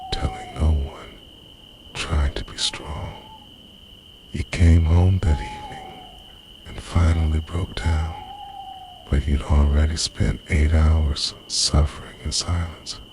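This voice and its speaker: Man acting a very deep, hushed obsessive boyfriend. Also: heavily acted. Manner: hushed, breathy, deliberate, slipping into whispers. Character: obsessive, devoted time-travelling boyfriend, softly confessing. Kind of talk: intimate ASMR roleplay monologue. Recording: noisy night ambience with crickets.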